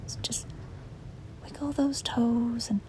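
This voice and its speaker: Woman playing a whispering, hesitant, gentle demon girl. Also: fairly high pitch, fairly even intonation, slow. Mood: encouraging. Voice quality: breathy whisper. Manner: hesitant, rambling, soft-spoken. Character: gentle, apologetic, reluctant young female sleep paralysis demon. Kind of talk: whispered ASMR roleplay. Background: rain and wind.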